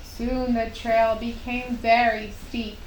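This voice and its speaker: A woman narrating a children's puppet show story in simple, unhurried phrases with long pauses. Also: a little high, flat delivery. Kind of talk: slow storytelling for young children. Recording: roomy live recording with faint noise.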